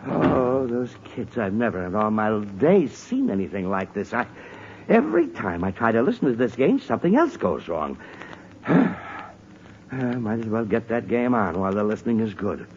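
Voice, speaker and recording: A man's voice: on the low side, big pitch swings, normal speed, a little mellow. An actor playing a blustering, exasperated middle-aged suburban father in an old-time radio comedy, grumbling with theatrical emphasis. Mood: weary grumbling. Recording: muffled old radio recording with hiss.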